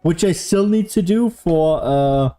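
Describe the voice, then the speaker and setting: Rambling young man with a mellow, higher-pitched voice. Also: a little slow. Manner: rambling, sweary, self-deprecating. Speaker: game streamer. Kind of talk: casual gameplay commentary. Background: faint game music.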